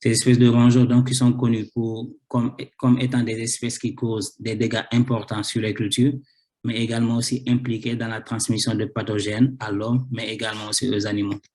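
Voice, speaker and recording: A man's voice: mid-range voice, level tone, fairly quick, a little mellow. A man with a cold giving an academic thesis talk, hesitant, with many fillers and repeated words. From Senegal. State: has a cold. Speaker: researcher presenting his thesis.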